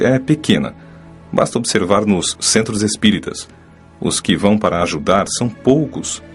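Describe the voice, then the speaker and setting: A male actor voices a grave but kindly spirit mentor with a Brazilian accent and a fairly low voice. He teaches in a solemn, measured way over background music, as in a dramatized audiobook. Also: a little mellow.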